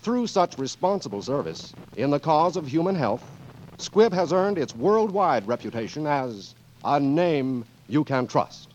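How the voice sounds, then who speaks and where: A man's voice: a little high, very animated, a little slow, background noise. Polished 1940s American radio announcer, man. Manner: polished, emphatic, formal. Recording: dull old radio recording with music.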